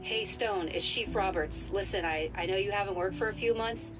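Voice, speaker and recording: A woman voicing a brisk female police chief in a video game, talking in a direct, businesslike way over game music. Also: mid-range voice, natural voice, low-quality recording. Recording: game audio with music underneath.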